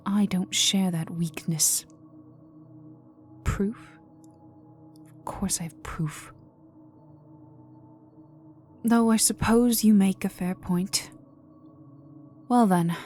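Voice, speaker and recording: A woman playing a cold, vengeful aristocratic vampire in a dark ASMR roleplay, speaking formally and menacingly and often sinking to a whisper. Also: fairly low pitch. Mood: cold, threatening. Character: cold vengeful aristocratic female vampire, young heiress in mourning.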